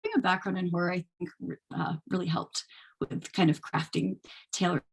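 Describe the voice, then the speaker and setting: A woman's voice: on the low side, steady tone, fairly quick, vocal fry, breathy edge. A woman giving informal, conversational answers as an interview guest on a video call. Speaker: guest, actor.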